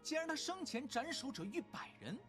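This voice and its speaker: A playful, clever teenage boy speaks boldly in an animated drama. His pitch is high and lively, with music behind him. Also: a little fast. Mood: cocky, challenging. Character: cocky, clever, playful teenage male disciple.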